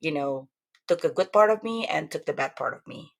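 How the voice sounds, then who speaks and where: A woman's voice: sits low, expressive, a little fast, gravelly. A middle-aged woman from Indonesia with an Indonesian accent, telling her life story in a rambling, chatty way with many fillers as an interview guest. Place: home room with decorations behind her.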